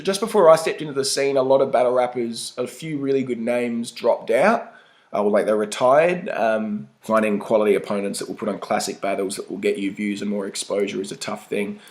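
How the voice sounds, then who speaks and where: A man's voice: fairly low pitch, fairly quick. A young Australian man talking informally and slangily in a casual documentary interview. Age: young adult. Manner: informal, slangy, mild swearing. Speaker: battle rapper being interviewed.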